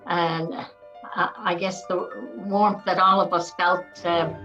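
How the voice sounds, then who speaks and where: Halting woman, distant and lo-fi. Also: on the low side, level tone, pushed and bright, soft background music. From Newfoundland. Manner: halting, searching for words. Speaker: event moderator. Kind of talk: casual thanks and remarks. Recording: distant, dull lo-fi sound.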